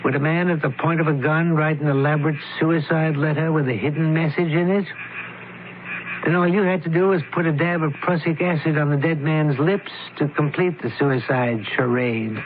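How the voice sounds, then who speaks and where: An actor playing a shrewd, candid doctor and sleuth in an old-time radio drama, speaking in a measured, precise way. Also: male voice, a little high, fairly even intonation, lightly acted. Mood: coolly accusing. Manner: measured, precise, deliberate explaining. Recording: tinny, noisy radio drama recording.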